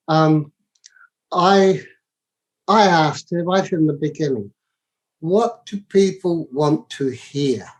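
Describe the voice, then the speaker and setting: A man talking as a podcast guest in a reflective, philosophical way, halting and often repeating his words. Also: fairly high pitch, a little mellow, poor audio. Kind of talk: reflective, spiritual casual talk.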